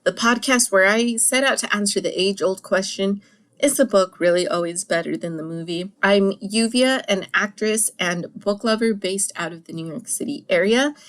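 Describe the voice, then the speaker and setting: A woman hosting a solo podcast, chatting in an animated, casual way, a little congested and coughing after a cold. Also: medium pitch, moderate pace. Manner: chatty, animated, laughs and restarts often. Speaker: podcast host.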